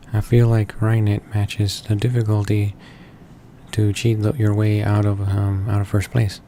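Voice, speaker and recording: Low, flat male game read-along voice. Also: a little hiss or hum. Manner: halting, stumbles over words. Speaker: let's play reader. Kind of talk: reading game text aloud. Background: game music.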